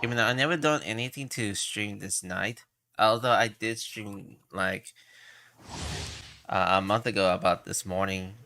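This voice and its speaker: A man chatting casually on a gaming livestream in a halting, meandering way. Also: lowish voice, pushed and bright. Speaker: live streamer. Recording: game music behind the voice. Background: video game music.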